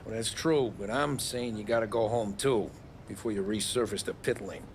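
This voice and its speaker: An actor playing a calm, level-headed young gangster in a video game, talking dryly. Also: male voice, lowish voice, normal speed, a little hiss or hum, natural voice. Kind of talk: video game cutscene acting.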